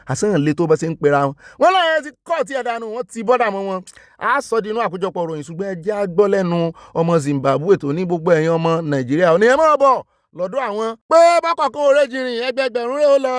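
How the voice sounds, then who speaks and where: A man delivering fast, animated news commentary from Nigeria, excitable, with sudden jumps in pitch. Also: no room sound, clean studio sound.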